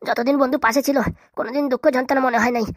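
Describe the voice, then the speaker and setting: A man acts out a troubled village husband in a comedy cartoon, full of regret. The voice is high and races along in a dry recording. He grumbles, rambles and scolds himself like a drinker with too many worries. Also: fairly even intonation, very fast, no room sound. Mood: worried, grumbling. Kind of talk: comedy cartoon dialect acting.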